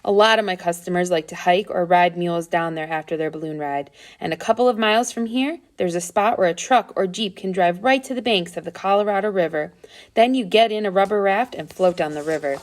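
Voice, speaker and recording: A woman's voice: fairly low pitch, brisk, close to an everyday voice. A woman voicing a friendly, easygoing man who pilots balloons in a storybook, folksy and reassuring.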